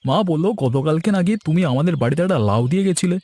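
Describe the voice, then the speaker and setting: A man's voice: fairly high pitch, racing, dark tone, no echo, lightly acted. A man voicing an eager, talkative younger village man in a cartoon, with a pleading manner. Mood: friendly, eager. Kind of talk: cartoon dialogue acting.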